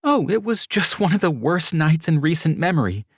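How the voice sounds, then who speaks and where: A man voicing a soft, emotional young woman. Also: fairly high pitch, very animated, moderate pace, breathy edge, no room sound, clean studio sound, voice pushed up. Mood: upset.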